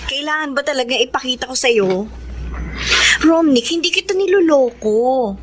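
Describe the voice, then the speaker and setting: A woman acting a high-voiced earnest young Filipina. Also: moderate pace, a little hiss or hum, close to an everyday voice. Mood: exasperated. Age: young adult. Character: earnest young woman, firm with an unwanted suitor. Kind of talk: radio drama acting.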